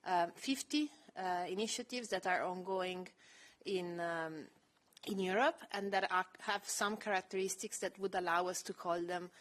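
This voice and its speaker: A woman with a low voice giving a measured, explanatory panel presentation with frequent fillers. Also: fairly bright tone. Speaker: panel speaker presenting project research.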